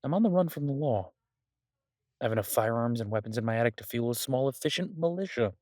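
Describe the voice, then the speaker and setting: A man playing a charming, restless mafia boss in an audio roleplay, rambling casually and intimately with self-mocking humor, mock boasts and hesitant pauses. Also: medium pitch, animated, a little mellow, dry recording, studio quality, lightly acted. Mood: sheepish, mock-indignant.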